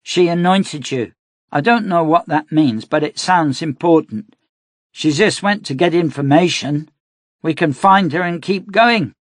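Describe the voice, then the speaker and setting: A male narrator voicing an earnest, stubborn young girl in a storybook reading, pitched higher and pleading. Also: a little slow, dry recording, clean studio sound, close to an everyday voice. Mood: defiant.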